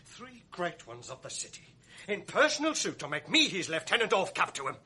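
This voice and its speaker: A scheming, mocking soldier in a vintage radio drama. His voice sits high and sounds bright and forward. He sneers and taunts. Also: wildly swooping pitch, brisk, slightly rough, exaggerated voice. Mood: resentful. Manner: sneering, taunting, bursts into shouts. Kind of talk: 1980s radio drama acting.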